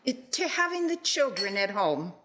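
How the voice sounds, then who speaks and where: A woman's voice: mid-range voice, big pitch swings, normal speed, noisy background, lightly acted. A woman playing an indignant, reluctant wife and mother in an amateur radio play. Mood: indignant, grudging.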